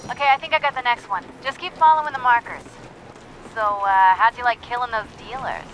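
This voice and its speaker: A woman's voice: a little high, a little fast, lo-fi sound, slight background noise, lightly acted. A young American woman voicing a brash, hot-tempered, superpowered vigilante in a video game, with a sarcastic, slangy, defiant manner. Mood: matter-of-fact. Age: young adult. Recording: game audio with music behind.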